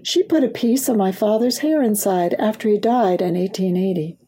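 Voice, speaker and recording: Woman voicing a kindly, mellow storybook doctor. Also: mid-range voice, moderate pace, slightly rough, natural voice. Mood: wistful. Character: kindly, gentle middle-aged male doctor in a storybook.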